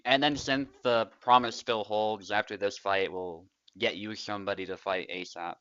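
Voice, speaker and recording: A man's voice: lowish voice, moderate pace, no room sound, dull audio. A young man, casually commentating a tournament he is also playing in, chatty and laughing often. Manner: relaxed, chatty, laughs often, trails off quietly.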